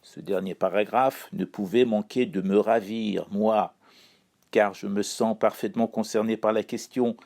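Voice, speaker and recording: Slow, gravelly, dark-voiced man. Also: fairly low pitch, fairly even intonation. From Iran. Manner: wry, ironic, measured delivery. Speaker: chronicler. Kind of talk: read-aloud spoken column.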